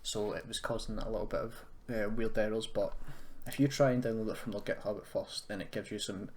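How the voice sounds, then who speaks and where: A man's voice: on the low side, steady tone, a little fast, darkish tone. A man presenting a casual screen-recorded tutorial, explaining in an unscripted, hesitant way. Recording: noisy home recording, keyboard typing.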